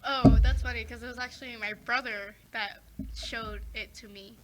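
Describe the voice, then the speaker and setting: A woman's voice: on the high side, steady tone, normal speed, background noise. A teenage girl talking casually as a guest, full of "like" and "um" and restarting her sentences. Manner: chatty, many fillers and restarts. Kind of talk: casual chat.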